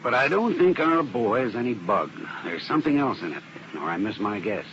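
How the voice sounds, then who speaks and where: Plain-spoken arson expert, noisy old radio. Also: male voice, fairly low pitch, sing-song, brisk, a bit bright. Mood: assured explaining. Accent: American. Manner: explanatory, plain-spoken, assured. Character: earnest, methodical male arson specialist briefing an investigator. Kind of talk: 1950s radio drama acting. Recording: dull old radio recording with heavy noise.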